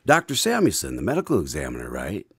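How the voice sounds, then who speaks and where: An actor playing a friendly announcer and host in an old-time style radio drama. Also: male voice, rumbling low, wildly swooping pitch, fast talker, vocal fry, breathy edge, dry recording, heavily acted. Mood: friendly, curious. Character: friendly male announcer and visitor drawing out stories.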